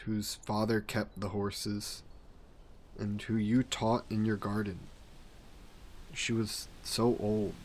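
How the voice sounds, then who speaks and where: An actor playing a grieving ancient vampire in an audio drama, speaking in a regretful, halting, introspective way. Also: male voice, slight background noise. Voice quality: low, slow and brooding.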